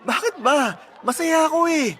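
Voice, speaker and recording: A man's voice: very high-pitched, expressive, normal speed, a bit bright. An actor playing a giddy, bashful young suitor in a radio drama, flustered and eager in his delivery. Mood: overjoyed. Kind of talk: Tagalog radio drama acting.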